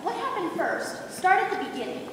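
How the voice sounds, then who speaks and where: Female performer as high, lively outspoken pageant child. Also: normal speed. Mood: questioning, indignant. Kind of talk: amateur readers theater skit. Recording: distant, very echoey hall with background noise.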